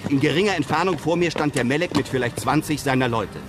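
A man playing a brave, composed European traveller who narrates his desert adventure in measured, literary sentences. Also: a little high, natural voice. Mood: composed, suspenseful. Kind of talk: scripted first-person radio drama narration.

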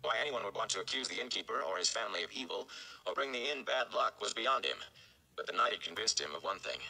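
A man's voice: on the low side, lively intonation, fast, vocal fry, bright, forceful tone, low-quality recording. A man, narrating a story from a script in a dramatic style. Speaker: audiobook-style reader. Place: small indoor room.

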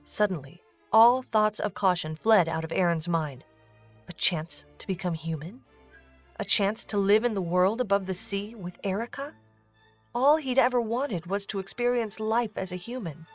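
A woman's voice: medium pitch, moderate pace. A woman narrator reading a scripted story for an audio drama. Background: music.

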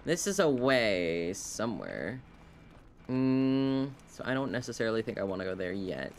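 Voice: medium pitch, big pitch swings, normal speed, a little hiss or hum. A video game streamer giving casual live commentary, rambling and wryly talking to themself and the game. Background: video game sounds.

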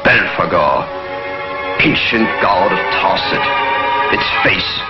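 An actor playing a haunted scientist, speaking in a dramatic, halting, horror-struck way in a 1950s television serial. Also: male voice, low-pitched, animated. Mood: horrified, haunted. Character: haunted male scientist recounting a deadly expedition. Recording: muffled old TV soundtrack, loud music behind.

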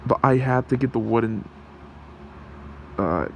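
A man playing a gentle, protective fox shapeshifter in human form in an ASMR roleplay, hesitant and soothing in his manner. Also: mid-range voice, measured pace, creaky, lo-fi sound, slight background noise. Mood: urgent, soothing.

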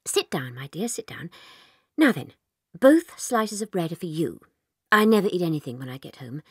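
An actress playing a gentle, kindly young schoolteacher with an English accent in a dramatized children's audiobook. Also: female voice, fairly low pitch, big pitch swings, normal speed, husky, no echo, clean studio sound, heavily acted. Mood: kindly, matter-of-fact.

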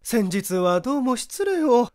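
A man's voice: very high-pitched, big pitch swings, unhurried, warm tone, dry recording, clean studio sound, voice pushed up, exaggerated voice. A man voicing a chatty, polite neighbor housewife in an effusive manner for a story reading. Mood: eager, welcoming.

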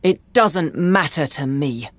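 Man doing defiant young son in a storybook, indignant, raised voice, slow delivery, dry close sound. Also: on the high side, expressive, dry recording, pitched above the performer's own voice.

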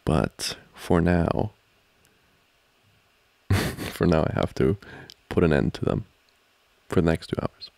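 A man playing an amorous, giggly student boyfriend in an intimate ASMR roleplay, talking haltingly and teasingly close to the mic. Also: very deep voice, gravelly, no echo, heavily acted. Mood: teasing, flustered. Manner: halting, soft-spoken, chuckling, teasing. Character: amorous, giggly young male student boyfriend trying to study. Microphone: close microphone, dry.

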